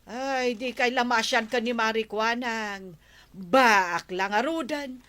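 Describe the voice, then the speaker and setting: A woman's voice: a little high, slow, pushed and bright. A woman acting a firm, questioning character in an Ilocano comedy drama. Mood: insistent. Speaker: radio comedy actor.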